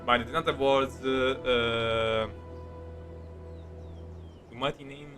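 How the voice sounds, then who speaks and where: A male streamer with a mid-range voice talks casually over his gameplay. His delivery is halting and hesitant, and game music plays clearly behind him. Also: mid-range voice, steady tone. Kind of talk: casual live commentary on gameplay. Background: video game music.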